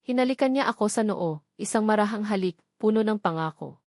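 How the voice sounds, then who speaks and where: A Filipino woman reads a scripted romance drama in the first person. Her pitch sits in the middle of the female range, and the sound is dry with very little room to it. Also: expressive, a little fast, a little mellow, no room sound. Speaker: narrator of audio love stories.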